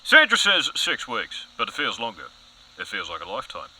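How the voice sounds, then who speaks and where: Pompous, forceful news anchor. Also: male voice, medium pitch, wildly swooping pitch, husky, full character voice, low-quality recording. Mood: world-weary, insistent. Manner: pompous, melodramatic, emphatic pauses. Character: overzealous, self-important male news anchor spiralling into conspiracy. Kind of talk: mock current-affairs broadcast, scripted audio comedy.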